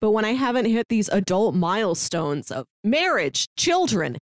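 A woman's voice: mid-range voice, big pitch swings, moderate pace, no room sound. Woman in her thirties, dry close sound. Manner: conversational, retells scenes with quoted dialogue. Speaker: podcast co-host. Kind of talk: casual film discussion.

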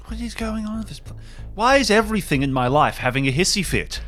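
A man playing an excitable, exasperated and scatterbrained adventurer in an audio drama, blurting bewildered questions. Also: sits high, quick pace, soft background music, pitched above the performer's own voice.

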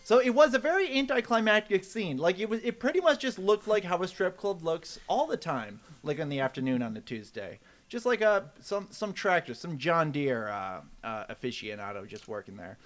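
A man's voice: high voice, sing-song, moderate pace, hardly any pauses, a bit bright. A man co-hosting a comedy podcast, telling casual stories with joking, mock-announcer asides. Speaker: podcast co-host. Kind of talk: comedic casual storytelling and banter.

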